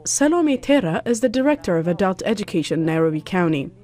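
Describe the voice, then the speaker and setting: A woman's voice: medium pitch, big pitch swings, quick pace, warm tone. A woman narrating a scripted news report.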